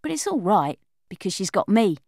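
Lively woman reading a chatty girl's diary. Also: medium pitch, normal speed, gravelly, dry recording, studio quality. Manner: chatty, confiding, lively schoolgirl voice. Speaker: audiobook narrator. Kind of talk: first-person storybook reading as a young girl.

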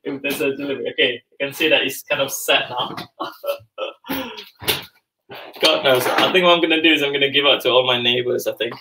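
A man's voice: medium pitch, steady tone, fairly quick, background noise. A man in his forties hosting a live cooking demo from his home kitchen, chatting as he works and thinking aloud with lots of fillers. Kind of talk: casual live cooking demonstration. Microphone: video call camera, moving around the kitchen.

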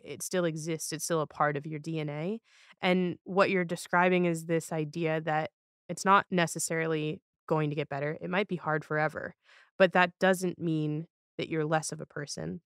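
A woman's voice: deep voice, a bit bright, smooth, dry recording, studio quality. A woman hosting a podcast, talking in a reflective, earnest way and repeating phrases for emphasis. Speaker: podcast host.

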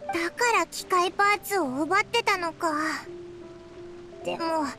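Very high-pitched childlike fairy sidekick. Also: female voice, a little slow, pushed and bright, exaggerated voice. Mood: puzzled. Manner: childish, blunt, tomboyish speech. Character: tiny floating childlike fairy companion, earnest, excitable. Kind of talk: Japanese anime game voice acting. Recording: music behind the voice.

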